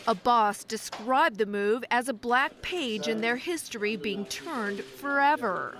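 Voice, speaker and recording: Higher-voiced woman narrating a news report. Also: measured pace, crowd noise.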